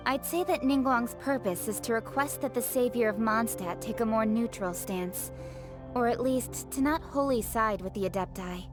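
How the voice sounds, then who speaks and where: A woman voicing a proud, candid young official in a video game, speaking briskly and formally with self-assurance. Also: fairly high pitch, lightly acted. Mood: skeptical, blunt. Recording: music behind the voice.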